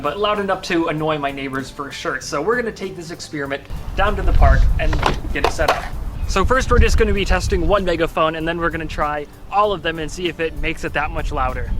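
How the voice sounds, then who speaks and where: A man presenting a home experiment in casual, explanatory vlog narration. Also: a little high. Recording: music behind the voice.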